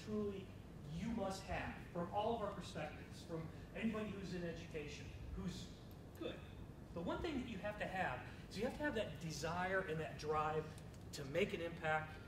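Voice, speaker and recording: A man in his forties from Ohio, giving a chatty talk to student teachers and joking with the audience. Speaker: school district administrator. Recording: echoey room from far off, low rumble.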